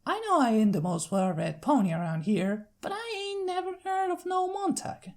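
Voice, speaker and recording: A woman's voice: sits high, big pitch swings, normal speed, darkish tone, voice pushed up. A woman voicing a friendly, plain-spoken young country mare with an American Southern accent and a folksy, hearty manner. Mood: hesitant, doubtful.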